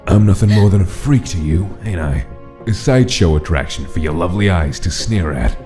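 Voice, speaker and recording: A man voicing a menacing skeleton mobster in a comic dub, rough-voiced and mockingly flirtatious, with a taunting drawl. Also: deep voice, lively intonation, brisk. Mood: bitter, sneering. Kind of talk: dramatic comic dub acting. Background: music.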